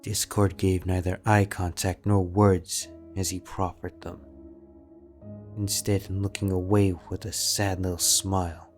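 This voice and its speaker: A man narrating a fan-fiction audiobook in a measured, steady storytelling manner. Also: deep voice, faint music behind.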